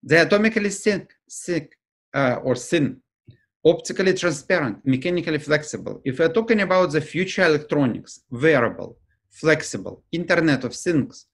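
A middle-aged man from Ukraine with a Ukrainian accent, giving a remote academic lecture over slides on a webcam, fluent but dropping articles and pausing with "uh". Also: a little high, lively intonation, vocal fry, fairly bright tone. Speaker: professor and lecturer. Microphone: video call webcam.

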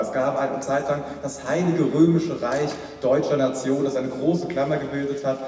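A young man from Rhineland-Palatinate giving a formal, measured debate speech at a lectern, repeating phrases as he builds his case. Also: mid-range voice, mellow, slight background noise. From Rhineland-Palatinate, Germany. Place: large hall, at a lectern. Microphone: far camera. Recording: echoey hall recorded from far off.

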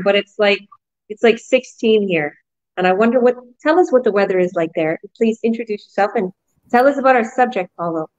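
Upbeat woman hosting a video call. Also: mid-range voice. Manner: enthusiastic, salesy, repetitive. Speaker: host. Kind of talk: upbeat online business pitch. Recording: muffled video call audio.

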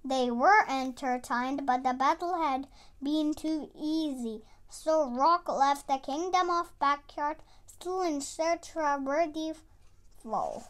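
A storybook reader with a dramatic, expressive delivery, reading a story aloud. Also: sits high, slow. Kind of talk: animated storybook read-aloud.

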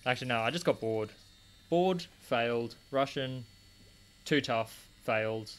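A man's voice: mid-range voice, a little slow, smooth. A young man hosting a live stream from a small home desk corner, chatting casually and answering chat comments aloud while he works. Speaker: stream host polishing gemstones.

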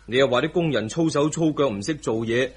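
An actor playing a cheeky, coaxing young son and protective husband in a radio drama, with a slightly husky voice. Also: male voice, medium pitch, fast, natural voice. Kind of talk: Cantonese radio drama acting.

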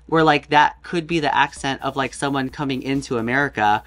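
A man's voice: medium pitch, fairly bright tone, faint music behind. Male drag performer, guest, jokey casual banter. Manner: chatty, joking, quick asides. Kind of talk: casual comedic chat. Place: home room with costumes behind.

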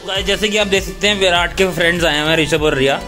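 A man hosting a casual family vlog. He chats, laughs often and rushes through explanations of game rules. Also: high voice. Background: background music.

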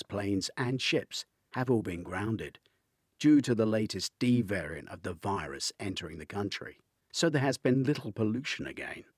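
A man's voice: fairly low pitch, husky, dry recording, studio quality. An older man reading a thriller aloud in a dramatic audiobook style. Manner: dramatic, expressive storytelling. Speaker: author reading own novel.

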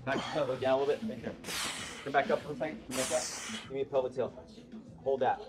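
A man talks fast and quick-fire as he coaches form in a big indoor gym, mixing instruction with teasing. Loud music plays behind him the whole time. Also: medium pitch, steady tone. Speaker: trainer. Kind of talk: coaching cues.

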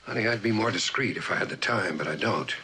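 An actor playing a brisk, take-charge, insistent man in a mid-century television drama. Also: fairly low pitch, animated, bright, forceful tone, breathy edge, exaggerated voice. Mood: urgent, probing. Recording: very noisy old television soundtrack.

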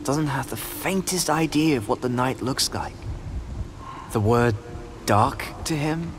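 A man playing a brooding, solemn character with a grave, measured manner in a dramatic game performance. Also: mid-range voice, lively intonation, noisy background, lightly acted. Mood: grave, somber. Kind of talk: dramatic video game acting.

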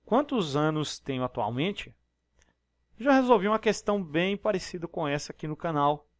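A man's voice: a little high, lively intonation, moderate pace, no echo, clean studio sound. Brazilian man, step-by-step math tutor. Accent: Brazilian Portuguese. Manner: explanatory, step-by-step, frequent tag questions. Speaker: math teacher. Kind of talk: exam problem walkthrough. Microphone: voice-over on screen recording.

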